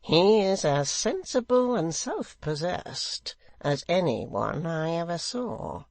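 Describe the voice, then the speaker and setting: Reader doing a sly pious secretary guy from an old novel, slow n oily, meek but stern. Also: mid-range voice, very animated, gravelly, dry recording, pitched above the performer's own voice, full character voice. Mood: sly flattery. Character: meek but severe, sly male secretary, sanctimonious flatterer.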